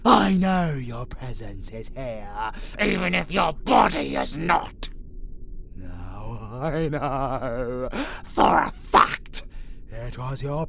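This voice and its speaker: A savage vampire abomination, hungry for prey and full of profane taunts. The delivery is very drawn out and gravelly, lurching wildly between hissed whispers and roars. It is horror roleplay at full fury. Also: mid-range voice, fairly bright tone, heavily acted. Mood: menacing, brooding. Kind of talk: horror audio roleplay acting.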